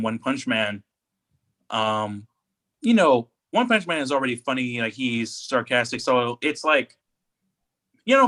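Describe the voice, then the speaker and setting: A man's voice: medium pitch, sharp tone. A man co-hosting a podcast in a casual, conversational chat style. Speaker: podcast co-host.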